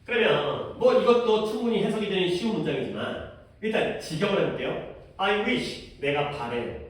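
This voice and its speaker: A man's voice: high-pitched, big pitch swings, normal speed. Man teaching grammar in an echoey room. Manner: casual explaining, asks students questions. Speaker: English teacher. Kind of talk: classroom grammar lesson. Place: classroom. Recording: echoey classroom from far off.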